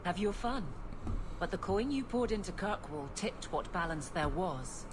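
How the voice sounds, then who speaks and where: A woman's voice: lowish voice, lively intonation, a little slow, noisy background. An actress playing a brusque, dutiful woman captain of the city guard in a video game, speaking in a dry, clipped, wry way. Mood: wry, frank.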